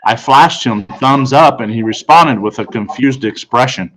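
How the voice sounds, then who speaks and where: A man's voice: mid-range voice, a little slow, a bit bright, rough recording. Man reading a school storybook aloud. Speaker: audiobook reader. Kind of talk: first-person storybook narration read aloud.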